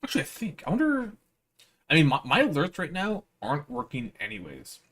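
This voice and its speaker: A man's voice: a little high, huge pitch swings, brisk, fairly bright tone. A man livestreaming with slangy, playful, rambling casual chat to his viewers. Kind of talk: casual livestream chat, reading visual novel aloud.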